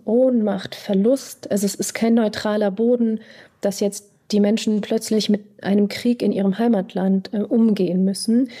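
A woman psychotherapist from Iran, answering interview questions in a measured, thoughtful way with pauses and fillers. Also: mid-range voice, dark tone.

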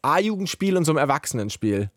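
A man's voice: on the high side, big pitch swings, fast talker, a bit bright, dry recording. A man hosting a radio show, chatting casually and informally with a caller from a studio. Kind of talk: casual radio phone-in chat. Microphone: close studio microphone.

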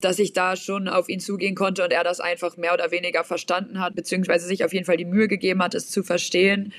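A woman's voice: on the low side, little pitch movement, fast talker, no echo, clean studio sound. A woman talking as an interview guest in a chatty, personal way. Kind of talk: casual interview talk.

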